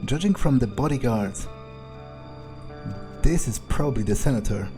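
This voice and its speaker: A man with a soft, mellow voice at a middle pitch talks through his plans as an in-character game player. He muses hesitantly and keeps things understated, with game music playing behind him. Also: expressive, normal speed. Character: calculating, detached vampire mercenary for hire. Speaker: player voicing their character in a let's play. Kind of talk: in-character gameplay commentary, thinking aloud.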